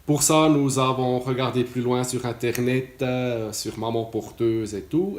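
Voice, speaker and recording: A man's voice: medium pitch, a little mellow, roomy sound. A Swiss man in his late forties with a Swiss French accent, giving a casual testimonial, talking hesitantly with many fillers and restarts. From Switzerland. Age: 40s.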